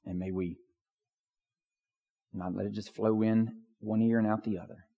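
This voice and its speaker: A man preaching as a pastor, with a hoarse voice and lots of fillers and restarts. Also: on the low side, level tone, normal speed, narrow, tinny audio. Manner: filler-heavy, restarts often. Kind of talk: prayer and sermon. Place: church sanctuary. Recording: long church reverb.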